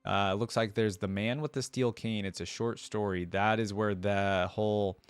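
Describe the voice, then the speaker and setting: A man's voice: lowish voice, steady tone, normal speed, smooth, no echo. A man hosting a reaction video, reading aloud and adding casual, informal commentary as he thinks aloud.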